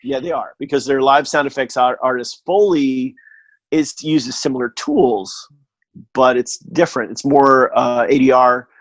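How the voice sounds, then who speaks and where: A man chatting casually as a podcast guest and telling anecdotes in a halting, wry way, often correcting himself. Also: mid-range voice, no echo, poor audio. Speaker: podcast guest, audio theatre producer.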